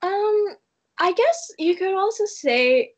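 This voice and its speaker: A woman's voice: extremely high pitch, expressive, takes it slowly. A young woman from Malaysia talking casually in an interview, with lots of "like" fillers. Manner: chatty, frequent "like" fillers.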